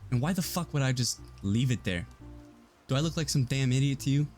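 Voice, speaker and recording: A man's voice: mid-range voice, expressive, a little fast, darkish tone, natural voice. A man plays a hot-headed working-class plumber questioned as a suspect in an audio drama. He is belligerent and defensive, swearing through a surly delivery over faint background music. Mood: belligerent, indignant.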